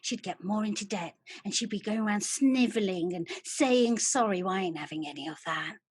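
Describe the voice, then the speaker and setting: A woman's voice: medium pitch, fairly quick, husky, no echo, natural voice. A woman playing a coarse, furious female alter who swears and rants in a solo stage monologue. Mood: low menacing. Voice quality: swearing, brash. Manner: ranting, mocking.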